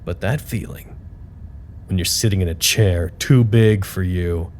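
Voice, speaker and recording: An actor playing a weary, earnest staffer who dictates a dry, self-deprecating work log in an audio drama. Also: male voice, low voice, measured pace, darkish tone. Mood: drained, rueful.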